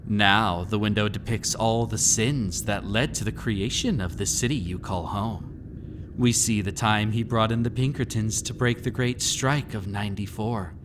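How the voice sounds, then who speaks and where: A man playing a mysterious, gleefully sinister keeper of a haunted house in an audio roleplay, speaking to the listener with teasing, drawn-out, theatrical phrasing. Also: on the low side, steady tone, noisy background, close to an everyday voice. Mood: ominous relish. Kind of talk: scripted immersive audio roleplay, storytelling.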